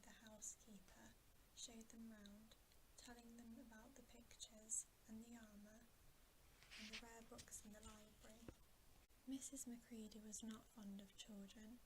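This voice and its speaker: Whispering woman reading a bedtime story. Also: on the high side. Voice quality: breathy, unvoiced whisper. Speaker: narrator of bedtime stories. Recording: faint background hiss.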